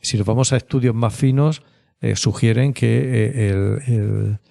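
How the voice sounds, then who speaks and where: A man with an accent from Spain speaks as an expert interview guest, explaining in a measured, conversational way and often checking in with short tag questions. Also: medium pitch, vocal fry, dark tone. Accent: Spanish from Spain. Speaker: clinical psychologist, interview guest.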